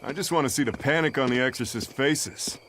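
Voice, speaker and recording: An actor playing a swaggering, taunting rogue fighter in a video game, slangy and carefree. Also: male voice, mid-range voice, fairly quick, fairly bright tone, a little hiss or hum, lightly acted. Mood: cool swagger. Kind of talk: English video game voice acting.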